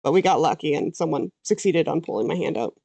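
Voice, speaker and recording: A woman's voice: low-pitched, animated, quick pace, gravelly, mellow, slightly rough, no room sound. Chatty Canadian woman drawing on stream. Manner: chatty, thinks aloud, trails off mid-sentence. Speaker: VTuber artist streaming her drawing. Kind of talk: casual livestream chat while drawing.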